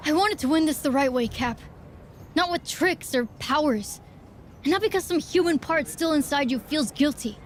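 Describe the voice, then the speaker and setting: A woman's voice: sits high, lively intonation, moderate pace, slight background noise. A woman playing a proud, sarcastic female wrestler in a video game cutscene, with a blunt, firm manner. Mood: defiant, sarcastic.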